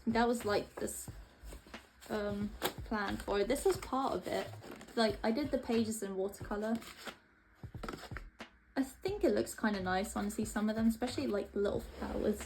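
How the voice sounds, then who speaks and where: A woman's voice: medium pitch, moderate pace, long pauses, background noise. A young woman from Latvia chatting casually and hesitantly as she flips through her old sketchbooks. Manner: rambling, hesitant, offhand. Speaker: art vlogger. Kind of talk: casual narration while flipping through sketchbooks.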